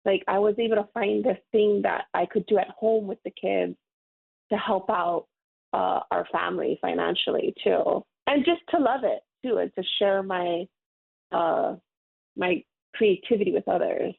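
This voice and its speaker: Friendly rambling woman in her 30s from hawaii, a bit of vocal fry, phone line sound. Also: medium pitch, normal speed. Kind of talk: casual interview.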